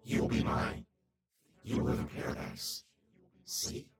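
A man voicing an ancient, seductive female entity in a hushed, breathy whisper for an audiobook. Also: unhurried, natural voice. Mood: hushed, coaxing. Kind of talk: audiobook voice acting.

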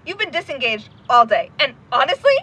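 A woman's voice: high-pitched, big pitch swings, a little fast, bright, forceful tone, husky, full character voice, low-quality recording. An actress playing an intense, stubborn young woman investigator in an audio drama, blunt, sarcastic and sweary. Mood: angry, hurt. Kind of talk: naturalistic audio drama acting.

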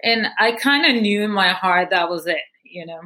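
A woman's voice: mid-range voice, expressive, normal speed, sharp tone. A young woman from Guatemala, a podcast guest candidly telling her life story with many fillers. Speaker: guest, movement founder. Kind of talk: personal story interview.

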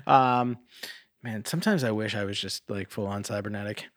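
A man's voice: fairly low pitch, normal speed, dry recording. Middle-aged man in dry, casual chat. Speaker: podcast host.

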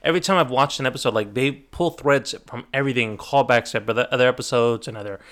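A man's voice: medium pitch, quick pace, a bit bright. A man in his thirties hosting a podcast, rambling and correcting himself as he chats casually. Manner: rambling, self-correcting, chatty. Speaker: podcast host. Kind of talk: casual chat.

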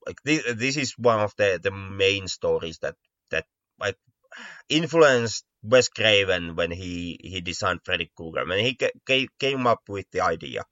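Male co-host, halting repeated words, casual discussion. Slightly deep, recorded with some room echo. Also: lively intonation, a bit bright. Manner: stammering, often repeats words mid-sentence. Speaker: podcast co-host. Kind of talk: casual discussion of mystery stories. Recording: dull, lo-fi, roomy echo.

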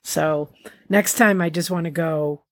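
A woman hosting an interview, excitable and sometimes stumbling over her words. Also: low-pitched, a little slow, no room sound. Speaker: podcast host.

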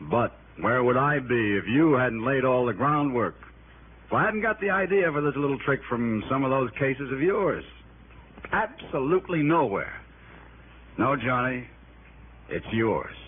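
An actor playing an excitable, admiring detective colleague in an effusive, emphatic 1960s radio drama style. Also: male voice, mid-range voice, a little slow, close to an everyday voice. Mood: admiring, insistent. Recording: tinny, noisy old radio broadcast.

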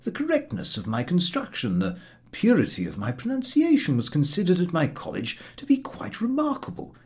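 A man's voice: high-pitched, wildly swooping pitch, fairly quick, pitched above the performer's own voice, full character voice. A man playing a self-important, baffled male scholar in a comic audiobook, pompous and aggrieved. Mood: puzzled, aggrieved.

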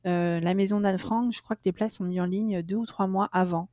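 A woman's voice: medium pitch, fairly even intonation, a little fast, mellow, dry recording, studio quality. Chatty middle-aged Frenchwoman, hesitant. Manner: chatty, hesitant with many fillers and restarts. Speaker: interview guest, French expat. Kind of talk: casual conversational interview.

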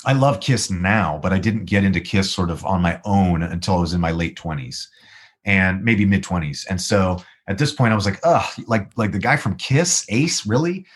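A man with a naturally low baritone voice tells a winding story, stopping and restarting his sentences often. He is a guest musician in a casual interview. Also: moderate pace, husky. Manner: rambling, animated storyteller with many restarts.

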